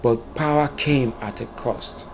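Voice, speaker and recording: A man narrating a dark epic folktale in a solemn, dramatic storytelling manner. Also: mid-range voice, a little slow, loud music behind the voice.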